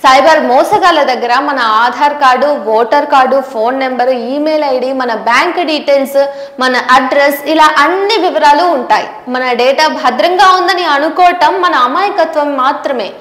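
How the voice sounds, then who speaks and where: Female news presenter, high voice, scripted explainer delivery, echoing room. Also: normal speed, a bit bright. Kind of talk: scripted explanatory narration to viewers. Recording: roomy, echoing voice recording.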